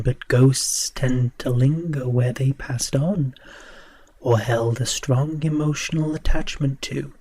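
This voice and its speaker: A courtly, shadowy host of a horror radio show, played by a man. His voice is medium in pitch. He speaks formally and theatrically, with a brooding air. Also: dark tone, close to an everyday voice. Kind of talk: theatrical horror anthology hosting.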